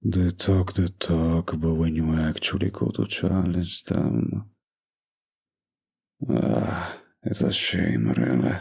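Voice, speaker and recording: Casting: male actor for a young mob boss boyfriend in romantic audio roleplay; raspy, unhurried drawl, menace mixed with tenderness. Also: low-pitched, darkish tone, exaggerated voice. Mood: irritated, contemptuous. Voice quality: gravelly, husky. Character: dominant young mafia boss boyfriend, menacing yet doting.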